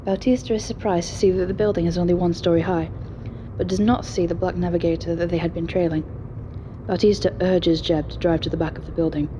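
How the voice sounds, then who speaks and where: A woman's voice: on the low side, fairly even intonation, fast, noisy background. A woman reading scripted present-tense story narration as the narrator of an audio drama.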